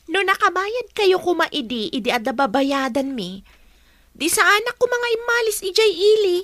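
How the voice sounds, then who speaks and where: A woman's voice: extremely high pitch, big pitch swings, moderate pace, fairly bright tone, no room sound, exaggerated voice. An actress with a Filipino accent playing a whiny, envious schoolgirl in a radio drama. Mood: sulky. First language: Ilocano. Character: whiny, envious schoolgirl who shuns studying and chores.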